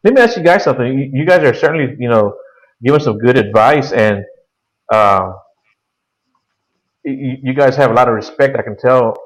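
A man's voice: mid-range voice, lively intonation, moderate pace, pauses often, rough recording. A man hosting a podcast, asking his guests questions. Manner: inquisitive, asks questions. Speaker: podcast host. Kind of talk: interviewing guests.

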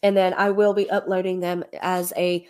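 A woman's voice: fairly low pitch, level tone, moderate pace, a little mellow. A woman with a cold and a slightly nasal voice, hosting a church livestream in a chatty way with many ums and restarts. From Alabama. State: congested from a cold, a little nasal. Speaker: ministry leader hosting a livestream. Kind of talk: casual livestream preaching.

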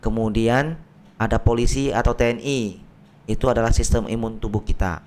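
Slightly hoarse doctor explaining. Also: male voice, medium pitch, normal speed, a little mellow. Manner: patient, uses simple everyday analogies. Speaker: doctor guest. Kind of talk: explanatory podcast talk. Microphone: podcast microphone.

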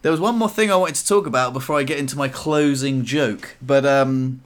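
A man's voice: mid-range voice, a little fast. Chatty man hosting a podcast. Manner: laughs easily, chatty and reactive. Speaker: podcast co-host. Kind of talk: casual podcast chat.

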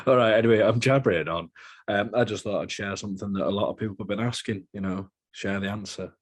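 British male podcast host, deep husky voice, chatty and jokey. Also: fairly even intonation, fairly quick. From Britain. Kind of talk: casual podcast chat.

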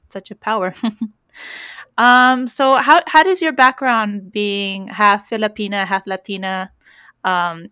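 A woman's voice: mid-range voice, sharp tone, clear, resonant tone, dry recording, studio quality. Upbeat woman podcast host. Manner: upbeat, enthusiastic, gushing. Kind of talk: scripted podcast intro, then interview chat.

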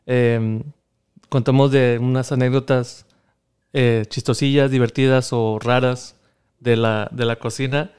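Man, Mexican accent, podcast host, smooth clear tone, mid pitch, casual banter, slangy and profane. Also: flat delivery, unhurried, a little mellow. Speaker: podcast host and fellow cook. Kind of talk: casual bantering interview chat.

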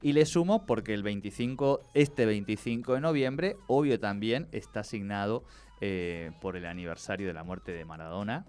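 A man's voice: on the low side, moderate pace, a little mellow, smooth. A man hosting a radio talk show in a chatty, rambling way, restarting words and laughing often. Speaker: radio host. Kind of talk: casual radio chat.

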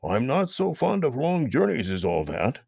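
A man voicing a grumpy, proud old male toad who talks in gruff, curt grunts in a storybook reading. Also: mid-range voice, big pitch swings, normal speed, a little mellow, no echo, studio quality, pitched above the performer's own voice, heavily acted.

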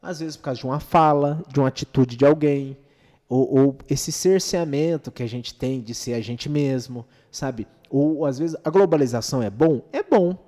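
A man's voice: medium pitch, moderate pace, mellow. A man with a Brazilian accent speaking casually and with opinion in podcast talk, slangy and full of rhetorical check-in questions. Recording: reverberant hall-like room.

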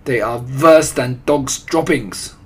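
A tyrannical grand witch, acted out by a man for a storybook, husky and loud. She rants imperiously in broken English, thundering out orders with deliberate menace. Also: mid-range voice. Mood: disgusted, screaming. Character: tyrannical, ranting female head witch with foreign grammar. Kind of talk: audiobook character voice.

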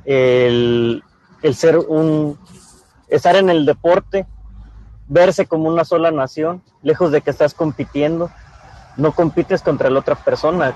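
A man hosting an interview conversationally, haltingly repeating words as he shapes his thoughts. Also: fairly high pitch, a little mellow. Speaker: interviewer, program host. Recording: dull lo-fi sound with background noise.

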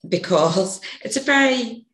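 A woman with a gravelly, hoarse voice, a podcast guest telling a rambling story and correcting herself as she goes. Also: on the high side, expressive, unhurried, fairly bright tone. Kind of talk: casual interview storytelling. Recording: echoey room.

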